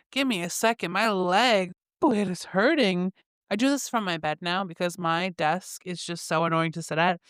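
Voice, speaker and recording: A woman hosts a casual solo podcast from her bed, reading affirmations and joking at her own stumbles. Her voice is very clear and smooth. The sound is close and dry, with no room echo. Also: mid-range voice. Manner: chatty, self-mocking, laughs at her own slips. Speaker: podcast host.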